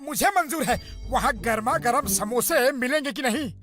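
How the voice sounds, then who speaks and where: A man's voice: extremely high pitch, animated, moderate pace. A man voicing a simple-hearted, loyal, food-loving male cartoon hero in an earnest, exclamatory manner. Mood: stubborn, loyal. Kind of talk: exaggerated cartoon acting. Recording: clear background music.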